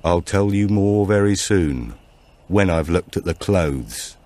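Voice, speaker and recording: A man voicing a grave old storyteller who gives warnings slowly and deliberately in an audiobook. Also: sits low, lively intonation, dark tone. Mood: quietly insistent.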